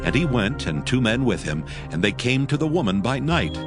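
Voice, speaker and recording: A man's voice: medium pitch, sing-song, moderate pace. A man narrating scripture from a script in a solemn style. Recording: music bed behind the voice.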